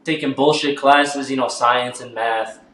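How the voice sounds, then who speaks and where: A man's voice: mid-range voice, steady tone, unhurried, bright, forceful tone. Laid-back young man in an echoey room. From Albania. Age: 20s. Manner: laid-back storytelling with slang. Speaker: guest artist. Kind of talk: casual podcast chat.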